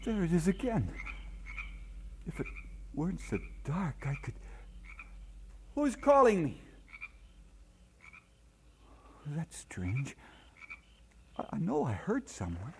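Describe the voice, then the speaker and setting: A man's voice: high-pitched, huge pitch swings, slow pace, vocal fry, long pauses, slight background noise, heavily acted. An actor playing an earnest, hesitant young Scottish man in an audio drama. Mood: bewildered.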